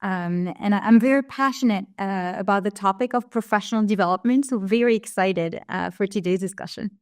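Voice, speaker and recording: A woman speaks as a panelist, her mid-pitched voice smooth and clean, heard dry over a call. She goes at a measured pace, pausing often with "uh". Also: dry recording. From France. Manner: measured, pauses with frequent "uh". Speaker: business coach and panelist. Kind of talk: panel introduction.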